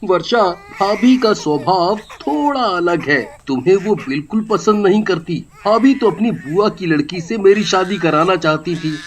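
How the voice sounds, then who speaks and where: Man acting a high-voiced, earnest young husband. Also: animated, a little slow, hardly any pauses. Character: loving, soft-spoken young husband, anxious and grieving.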